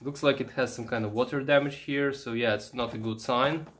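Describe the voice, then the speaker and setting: A man giving casual, unscripted show-and-tell commentary, halting and musing aloud as he handles things. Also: mid-range voice, fairly even intonation, a bit bright. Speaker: vintage electronics vlogger.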